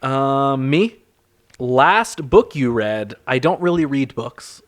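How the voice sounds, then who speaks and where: A man's voice: mid-range voice, expressive, takes it slowly. A man co-hosting a podcast, talking earnestly and reflectively in casual chat. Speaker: podcast co-host.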